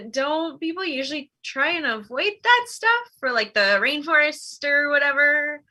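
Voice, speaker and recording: A woman's voice: sits high, very animated, measured pace, bright, forceful tone, exaggerated voice. A woman playing an awkward, accommodating store worker in a comedy table read, hesitant, casual and bemused. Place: remote virtual table read.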